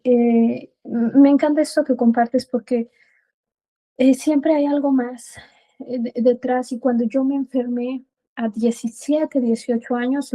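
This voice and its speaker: High, softly even-toned Mexican woman. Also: poor audio. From Puebla, Mexico. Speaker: podcast guest, biodecoding practitioner. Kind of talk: conversational interview answers.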